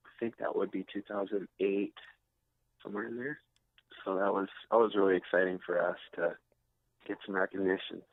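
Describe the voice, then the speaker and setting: Phone-like sound, man, slightly deep voice, casual interviewee, hesitant, unassuming. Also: level tone, fairly quick, no echo. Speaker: rock guitarist being interviewed. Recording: tinny narrow phone-like line.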